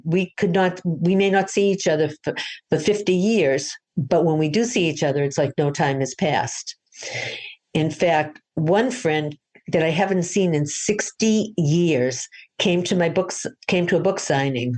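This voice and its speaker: A woman's voice: sits low, darkish tone. An older woman from Boston, an author, chatting through her interview answers in a storytelling way, with frequent restarts. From Boston, USA.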